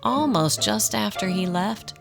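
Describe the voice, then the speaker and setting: A woman narrating a scripted radio drama in an expressive storybook style. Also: medium pitch, a little slow, music in the background.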